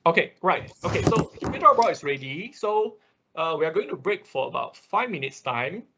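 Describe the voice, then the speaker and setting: A man with a husky voice teaching a live online programming class informally, often repeating words and checking in as he explains. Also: on the high side, animated, fairly quick, muffled recording, heavy background noise. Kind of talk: informal live technical tutorial, explaining code.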